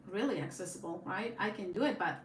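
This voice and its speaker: A woman's voice: medium pitch, expressive, quick pace. Casual livestreamer, woman, Spanish accent, rambling chat, phone on tripod in an echoey room with faint hiss. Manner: rambling, chatty, frequent fillers and restarts, laughs often. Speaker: YouTube live streamer. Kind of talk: casual live-stream chat.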